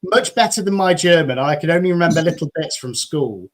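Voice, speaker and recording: A man's voice: on the high side, animated, fairly quick. A man from England hosting a live video chat, talking in an enthusiastic, chatty way with frequent restarts. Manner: chatty, enthusiastic, repeats words when starting. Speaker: host. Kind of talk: casual chat.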